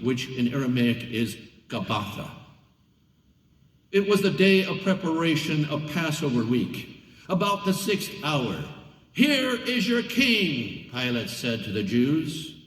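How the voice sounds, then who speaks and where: A man giving a dramatic, solemn Bible reading with deliberate theatrical phrasing in a large church hall. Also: medium pitch. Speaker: scripture reader. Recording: echoey hall, distant microphone.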